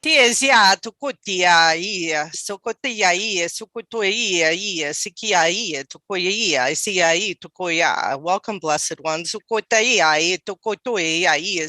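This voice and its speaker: A woman's voice: lowish voice, bright, forceful tone, no echo. A woman channeling a prayer in rhythmic, repetitive chant syllables with short spoken blessings.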